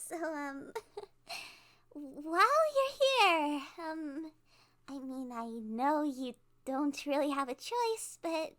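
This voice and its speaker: Woman acting a high-pitched, giggly, stammering kidnapper girl. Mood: giddy, sweetly menacing. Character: cute, nervous, giddily obsessive young female kidnapper. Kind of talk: ASMR roleplay monologue.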